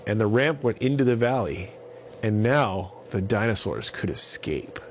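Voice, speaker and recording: A man's voice: fairly low pitch, animated, measured pace, dull audio, a little hiss or hum. A man telling an improvised bedtime story to small children in simple, repetitive phrases.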